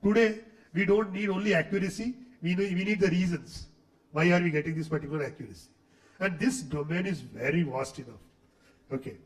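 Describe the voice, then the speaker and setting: A man's voice: high-pitched, brisk. A middle-aged man with an Indian English accent, lecturing a room of faculty with a cold, chatty and full of questions for the audience. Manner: conversational, asks the audience questions, says okay often. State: has a cold, voice not clear. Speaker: guest lecturer. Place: lecture hall. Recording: echoey hall, speaker far from mic.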